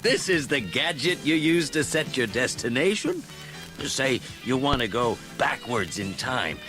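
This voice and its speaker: An actor playing an excitable, eccentric old male scientist with a dramatic, emphatic delivery in a children's read-along story. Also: medium pitch, unhurried, music in the background. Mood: proud, excited.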